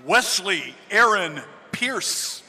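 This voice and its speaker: A man reads names out one by one in a high, lively voice, taking his time over each. His voice carries a long echo through a big arena hall from the podium microphone. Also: slight background noise. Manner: slow, clear announcing of names. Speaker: name reader at graduation. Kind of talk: reading out graduate names. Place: large indoor arena. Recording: long reverb of a large arena.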